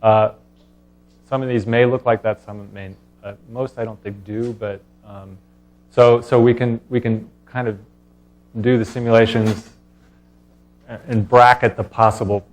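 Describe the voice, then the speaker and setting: A man presenting as a scientist at a conference, speaking in a halting way with pauses mid-thought. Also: lowish voice, level tone. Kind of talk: conference talk and question answering.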